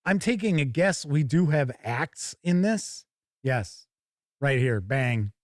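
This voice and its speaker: A man's voice: on the high side, animated, takes it slowly, no room sound, clean studio sound. A man hosting a tabletop gaming show, chatting casually and thinking aloud as he gives live first-look commentary.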